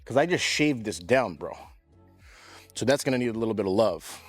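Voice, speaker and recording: A man's voice: fairly low pitch, expressive, moderate pace, soft background music. Laid-back, slangy man vlogging outdoors. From Pacific Northwest. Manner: laid-back, chatty, slangy, says bro and dude a lot. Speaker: lawn care vlogger. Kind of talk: casual vlog talk to camera. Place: outdoors in a yard.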